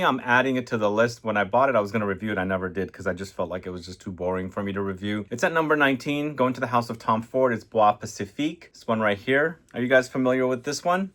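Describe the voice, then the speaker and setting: Guy from lebanon doing a chatty review vid, mid pitched voice, asks viewers stuff. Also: fairly bright tone. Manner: conversational, addresses viewers with questions. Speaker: fragrance reviewer, video host. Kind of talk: casual video review to camera.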